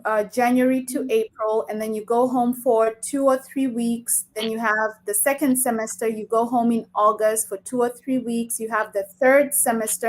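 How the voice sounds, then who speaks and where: A woman's voice: a little high, low-quality recording. A middle-aged woman from Kenya with a Kenyan accent, answering interview questions in an explanatory, emphatic way. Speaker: interview guest, coach.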